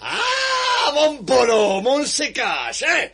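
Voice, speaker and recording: An actor putting on a strong Italian Neapolitan accent as a boastful, wily adventurer in a radio comedy. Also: male voice, extremely high pitch, huge pitch swings, drawn-out words, gravelly, sharp tone, a little hiss or hum, pitched above the performer's own voice, exaggerated voice. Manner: chatty, self-assured, laughs often.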